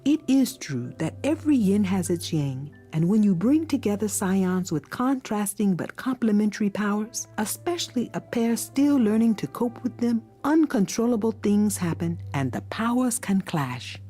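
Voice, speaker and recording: An actress playing a wise, gentle older woman mentor in a video game, with measured, soft, solemn delivery. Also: mid-range voice, expressive, breathy edge, faint music behind. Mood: grave, explanatory. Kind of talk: dramatic video game acting.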